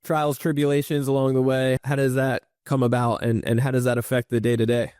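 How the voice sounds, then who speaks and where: A man's voice: medium pitch, flat delivery, brisk, darkish tone, smooth, no echo, studio quality. A man hosting a podcast in a casual, upbeat, encouraging interview style. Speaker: podcast host. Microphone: remote virtual call recording.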